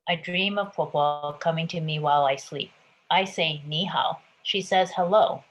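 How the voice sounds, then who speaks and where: A woman from Taiwan, a picture book author, reading her book aloud gently and then chatting about it. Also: deep voice, moderate pace, highs cut off. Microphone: video call.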